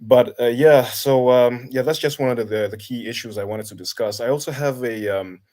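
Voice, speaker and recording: A man's voice: mid-range voice, a little mellow. A man in his thirties from Kenya, a guest in a casual conversation, talking thoughtfully with many halts and restarts. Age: 30s. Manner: halting, many restarts and fillers. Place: Denmark.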